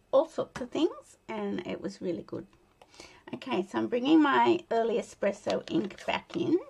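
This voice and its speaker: An Australian woman with a tired, croaky voice chatting casually and laughing as she demonstrates card making at her craft desk. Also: medium pitch, a little mellow. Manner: chatty, laughs often, mutters to herself while working. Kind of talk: casual crafting tutorial chat. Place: indoor craft desk, overhead camera.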